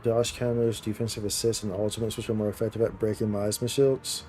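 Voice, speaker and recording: A man's voice: lowish voice, little pitch movement, fairly quick, dark tone. A man livestreaming an action game with casual, slangy commentary, thinking aloud as he plays. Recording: game music behind the voice. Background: game music.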